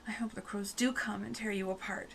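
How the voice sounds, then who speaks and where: A woman's voice: mid-range voice, steady tone, fairly quick, gravelly, a bit bright, a little hiss or hum. A woman playing a frightened but defiant young girl in an audio horror drama, pleading and insistent. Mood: wary, defiant.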